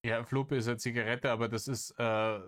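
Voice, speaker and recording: A man's voice: medium pitch, little pitch movement, normal speed, a bit bright. Sarcastic man commenting on clips. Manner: exasperated, sarcastic, swears freely. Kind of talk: satirical reaction commentary.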